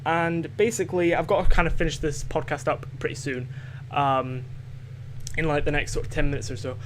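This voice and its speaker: A man's voice: a little high, steady tone, a little fast, a bit bright, slight background noise. A teenage boy from Scotland with a light Scottish accent, hosting a home podcast in a hesitant, rambling way full of fillers and restarts. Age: teens. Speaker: podcast host. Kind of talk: casual rambling monologue.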